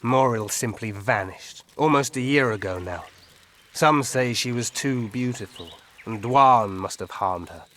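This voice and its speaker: A man's voice: medium pitch, measured pace, fairly bright tone, slight background noise, close to an everyday voice. An actor playing a melancholy, cryptic old elf woodsman in a fantasy video game, musing with a tipsy drawl. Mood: resigned, musing.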